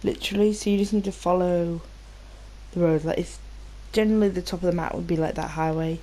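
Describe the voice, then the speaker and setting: Mellow, low-voiced British woman gamer. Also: steady tone, a little fast, low-quality recording. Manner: rambling, informal, sweary. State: slightly nasal from a cold. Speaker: gaming video host. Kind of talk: casual gameplay commentary.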